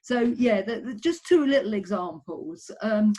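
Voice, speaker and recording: A woman's voice: mid-range voice, expressive, measured pace, warm tone, husky. Middle-aged woman researcher, earnest, lots of ums and restarts. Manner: earnest, frequent ums, self-corrections. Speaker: tourism researcher, interviewee. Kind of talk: conversational interview answers.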